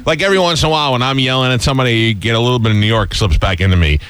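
Fast-talking, teasing American man interviewing. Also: medium pitch, fairly bright tone, clear, resonant tone. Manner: blunt, teasing, skeptical. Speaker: radio show interviewer. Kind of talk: casual, joking interview chat.

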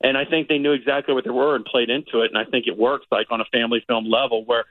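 A man's voice: mid-range voice, fairly even intonation, fast talker, dry recording, narrow, tinny audio. A middle-aged man chatting casually and in a rambling way as a film critic on a radio show, often repeating himself for emphasis. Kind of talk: casual movie review chat.

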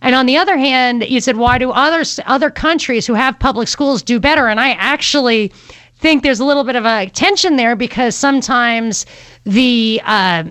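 A woman's voice: fairly high pitch, moderate pace, a bit bright, clear, resonant tone, dry recording. A woman hosting a talk radio show, giving her views in a conversational, opinionated way with fillers as she thinks aloud. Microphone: studio microphone.

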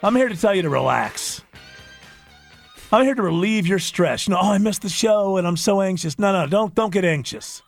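A man's voice: high voice, expressive, normal speed, faint music behind. A man hosting a radio talk show, joking and giving strong opinions in casual chat.

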